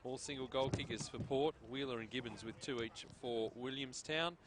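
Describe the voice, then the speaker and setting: A man's voice: medium pitch, normal speed, background noise. A middle-aged Australian man hosting a football broadcast, chatting and joking before reading out scores and ladder standings. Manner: chatty, jokey, reads out scores. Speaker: sports broadcast host.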